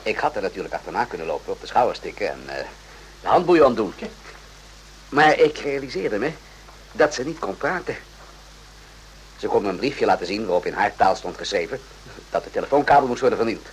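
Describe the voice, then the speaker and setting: A man playing a composed military officer who tells his story deliberately in a radio drama. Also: lowish voice, expressive, natural voice. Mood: composed, explaining. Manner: measured, deliberate explaining. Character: composed, methodical military officer recounting a spy affair. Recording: faintly noisy, humming old radio recording.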